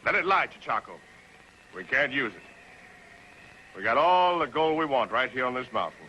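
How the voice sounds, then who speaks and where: An actor playing a cold, mocking outlaw, curt and menacing, in an old television western. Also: male voice, medium pitch, lively intonation, normal speed, sharp tone, highs cut off, slight background noise. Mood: cold, controlled. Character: cold, mocking male outlaw claim-jumper. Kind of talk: 1950s television western acting. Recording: old TV soundtrack with music behind.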